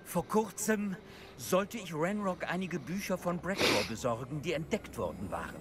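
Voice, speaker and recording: A stern old goblin lord. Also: male voice, on the high side, very animated, very noisy. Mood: grave. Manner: stern, measured, curt. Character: wary old male goblin lord, grave and proud. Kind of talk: German game dub. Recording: game audio with music behind.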